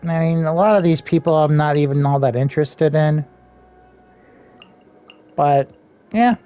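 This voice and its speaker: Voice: mid-range voice, unhurried, lo-fi sound. A game streamer chatting casually while playing, rambling and half muttering to themself. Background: soft game music.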